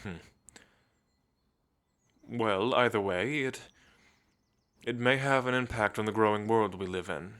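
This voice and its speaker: A man playing a refined, centuries-old gentle vampire in an intimate ASMR roleplay, speaking in measured, old-fashioned phrases with thoughtful pauses. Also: fairly low pitch, clear, resonant tone. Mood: brooding, wistful.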